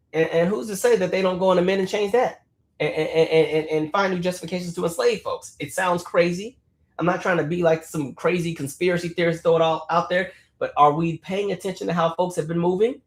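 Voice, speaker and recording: Fast-talking emphatic man in roomy echo. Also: on the high side. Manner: animated, emphatic, repeats words and restarts while riffing. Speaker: political commentary host. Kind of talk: casual livestream commentary.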